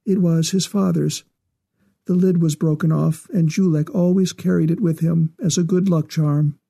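Man voicing a tearful, pleading mother, husky and high. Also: steady tone, moderate pace, dark tone, no room sound. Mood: sad, resigned. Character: tearful grieving mother, anxious and pleading for her lost son.

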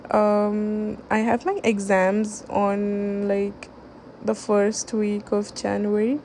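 A young woman vlogging late at night at home, speaking in a hushed voice and rambling with many fillers and restarts. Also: mid-range voice, little pitch movement, slow pace, slight background noise. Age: young adult. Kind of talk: late-night casual chat.

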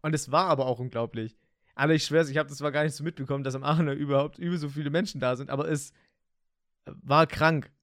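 A young man chats slangily on a podcast, his voice a touch higher than most men's. His talk is excitable and he laughs often. The sound is close and dry. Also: fast talker, no room sound. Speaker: podcast co-host. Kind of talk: casual chat.

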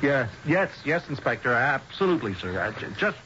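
Male police lieutenant: skeptical, flustered, sarcastic, swinging pitch, in a dull, noisy vintage radio mystery. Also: big pitch swings, a little fast, bright, forceful tone. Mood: flustered, deferential. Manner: flustered, hesitant stammering, sarcastic. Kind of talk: old-time radio mystery drama acting. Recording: dull, noisy old radio broadcast recording.

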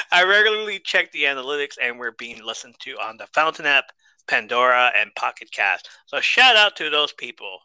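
A man hosting a casual podcast, reading listener shout-outs and the outro in an easygoing, rambling way with a soothing voice. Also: mid-range voice, big pitch swings, moderate pace, clear, resonant tone, dry recording, rough recording. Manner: easygoing, rambling, lots of fillers and laughs. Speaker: podcast host.

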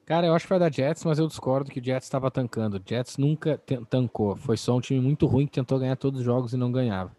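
A man's voice: medium pitch, fast, hardly any pauses, mellow. A Brazilian man debating football casually as a podcast panelist, opinionated and slangy.

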